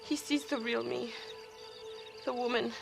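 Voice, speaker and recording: Young Mexican peasant rebel, high voice, fervent, devoted, melodramatic, music behind, game cutscene. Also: female voice, steady tone, unhurried, vocal fry. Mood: lovelorn, proud. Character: young Mexican peasant revolutionary woman, earnest, romantic, devoted. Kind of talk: video game cutscene acting.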